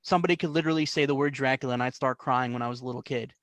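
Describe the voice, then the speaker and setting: A man's voice: medium pitch, rapid-fire, a bit bright, no echo. A young adult man from the New York area telling stories as a guest in a casual interview, with many fillers and restarts. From New York and New Jersey, US. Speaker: guest artist.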